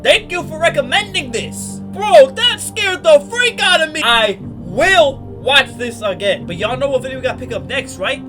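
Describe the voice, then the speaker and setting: A man with a high voice hosts a reaction video. He talks in a casual, slangy way with excitable commentary. Loud music runs behind him. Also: moderate pace, few pauses.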